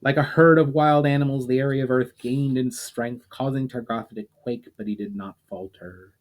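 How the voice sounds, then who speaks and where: Male narrator, mid-pitched voice, dramatic fantasy audiobook reading, measured phrases, falling line endings. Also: steady tone. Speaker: author reading own fiction aloud, channel host.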